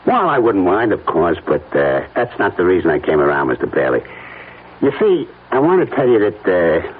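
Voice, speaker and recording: An actor playing a smug little blackmailer in a radio drama, with oily, falsely apologetic politeness. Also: male voice, deep voice, wildly swooping pitch, moderate pace, breathy edge, full character voice. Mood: falsely regretful. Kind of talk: old-time radio drama acting. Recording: tinny, band-limited radio recording, faint noise.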